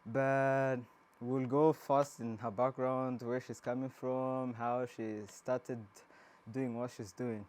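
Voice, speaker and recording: A man's voice: mid-range voice, level tone, takes it slowly, smooth. Rambling male interview host. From Rwanda. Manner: warm, rambling questions with many restarts. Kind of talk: casual interview.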